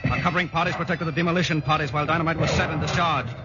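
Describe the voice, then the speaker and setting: An actor playing a sombre commando veteran, narrating in a grave, clipped, declamatory 1940s radio style. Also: male voice, fairly high pitch, fairly even intonation, very fast, lightly acted. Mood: stirring, intense. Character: sombre commando veteran recounting a deadly raid. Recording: muffled, very noisy 1940s radio recording.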